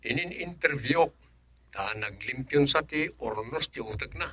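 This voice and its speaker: A man's voice: medium pitch, animated, a little slow, fairly bright tone, slightly rough, no echo. A male actor playing a caring but exasperated younger man looking after a senile elder in an Ilocano radio drama, with an Ilocano accent. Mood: subdued, explaining.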